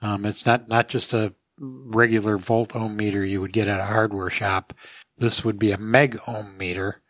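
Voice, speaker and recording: A man with a lowish voice explains things casually as an expert host. His phrasing is measured and halting, recorded close with no room echo. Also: steady tone, a bit bright. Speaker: podcast expert host answering listener questions.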